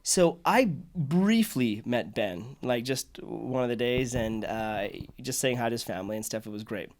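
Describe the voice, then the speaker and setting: A man's voice: mid-range voice, lively intonation, moderate pace. Enthusiastic man telling a story. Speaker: podcast host. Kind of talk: casual storytelling conversation.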